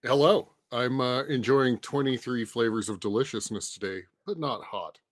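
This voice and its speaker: A man's voice: medium pitch, moderate pace, no echo. Close, dry-sounding male podcast co-host. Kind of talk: casual banter.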